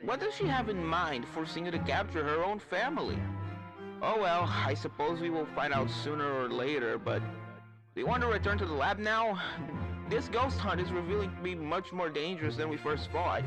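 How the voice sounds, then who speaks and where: A man's voice: fairly high pitch, expressive, moderate pace, rough recording. A man voicing a cautious advisor to a young ghost hunter, concerned and explanatory, in a story reading. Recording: clear music behind the voice.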